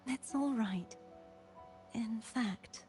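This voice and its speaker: A woman playing a gentle young knight in a video game, speaking in a measured, softly formal, wistful way. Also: on the high side. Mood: wistful, resigned. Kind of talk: scripted video game voice acting. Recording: game audio with music underneath. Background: music.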